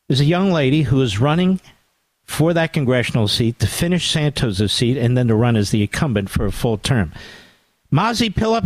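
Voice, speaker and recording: A man's voice: medium pitch, husky, no room sound. A man in his sixties hosting a talk radio show, speaking emphatically and combatively.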